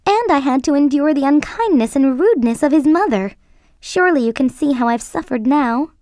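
A woman voicing a calm, dutiful young woman, an elder sister, who speaks in an earnest, restrained way. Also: high voice, a little fast, no echo, studio quality, pitched above the performer's own voice.

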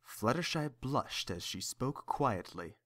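A man's voice: mid-range voice, big pitch swings, slow, fairly bright tone. A man reading scripted third-person story narration as the narrator of an audio drama.

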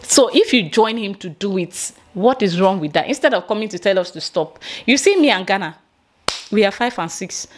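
A woman's voice: mid-range voice, lively intonation, normal speed. A young Nigerian woman recording a vlog in a small room, speaking straight to the camera in an indignant, emphatic way. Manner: indignant, emphatic, gestures while ranting. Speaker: vlogger.

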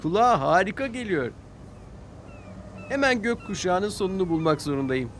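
A man voicing an eager, excitable young steam engine in a children's story, exclaiming in a hurried, breathless way. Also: sits high, a little hiss or hum, voice pushed up. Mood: amazed, eager.

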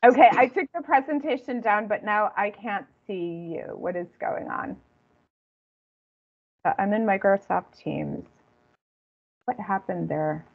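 A woman giving an informal academic talk online, halting and often rephrasing as she goes. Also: medium pitch, vocal fry. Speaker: guest lecturer and author. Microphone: computer video call. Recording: dull, lo-fi video call audio.

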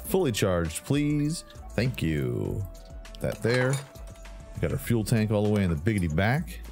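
A man's voice: lowish voice, big pitch swings, a little slow, a little mellow. A man hosting a gaming channel, giving playful commentary while fixing up a hot rod, breaking into silly sound effects and bits of song. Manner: goofy, sings and makes silly sound effects. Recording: faint game music behind.

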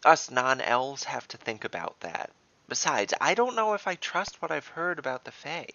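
A man's voice: medium pitch, big pitch swings, moderate pace, gravelly, sharp tone, no echo, muffled recording, voice pushed up, exaggerated voice. A man voicing a wary, nervous woman adventurer arguing uneasily in a fantasy podcast story. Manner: argumentative, uneasy.

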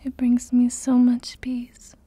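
A woman playing a tender, doting mother in a soft-spoken ASMR roleplay, with a breathy, whispery, soothing voice. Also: on the high side, monotone, no echo. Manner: slow, gentle, soothing. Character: tender doting mother soothing her sleepless loved one.

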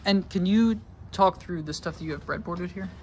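A man's voice: high voice, moderate pace, a little mellow. A man asking a student brief questions about a lab project, with short affirming replies, in a large lab. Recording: faint lab room noise.

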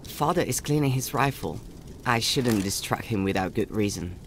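A man's voice: lowish voice, animated, moderate pace, a little hiss or hum. A man playing an earnest, caring young country boy and protective older brother, narrating reflectively in a video game. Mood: wistful.

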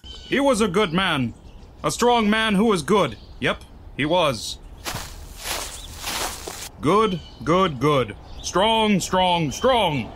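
Simple-minded mourner, man acting, repetitive and solemn, slow delivery, faint noise behind. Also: high voice, big pitch swings, a bit bright, full character voice. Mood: solemn, dim. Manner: repetitive, plodding.

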